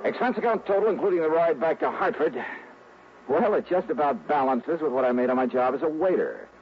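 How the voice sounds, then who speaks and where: An actor playing a tough, wry insurance investigator in a 1960s radio drama, speaking in a clipped, hard-boiled manner. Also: male voice, fairly high pitch, expressive, fairly quick. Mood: brisk, wry. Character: tough, wry male insurance investigator narrating his case. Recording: muffled, hissy old radio broadcast recording.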